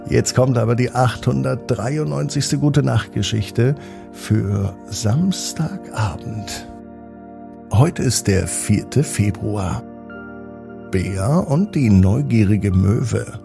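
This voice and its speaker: A man with a German accent narrating a bedtime story for children in a calm, gentle way, speaking straight to the young listeners. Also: medium pitch, takes it slowly, creaky, music in the background.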